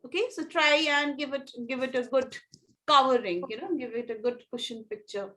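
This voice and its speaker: A woman with an Indian English accent tutoring students in an online English class, giving gentle feedback with many fillers. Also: high-pitched, normal speed.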